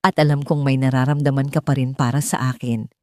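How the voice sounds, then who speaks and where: A woman's voice: rumbling low, little pitch movement, fairly quick, a little mellow, dry recording, studio quality. A woman storyteller voicing an elegant, jealous young woman, a former lover who is sweet on the surface and cutting underneath. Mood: pleading, hurt.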